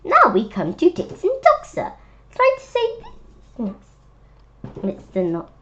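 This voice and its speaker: A woman voicing an eager, tricky, bossy storybook fox with an excited sing-song delivery. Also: on the high side, takes it slowly, highs cut off, full character voice. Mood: pushy. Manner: excited sing-song, tongue-twisting patter.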